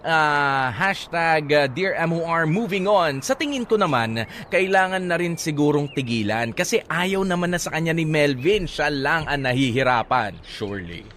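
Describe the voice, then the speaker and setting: A Filipino man hosting a radio show, giving relationship advice in a casual, teasing, halting way and mixing Tagalog with English. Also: a little high, lively intonation, a bit bright. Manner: hesitant, teasing, switches between Tagalog and English. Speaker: radio host. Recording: clear background noise and ambience.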